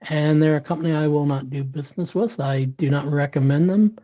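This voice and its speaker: A man's voice: on the high side, brisk, mellow, lo-fi sound. A man speaking as an interview guest, telling a candid story with frequent restarts and fillers over a video call. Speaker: interview guest, sales professional.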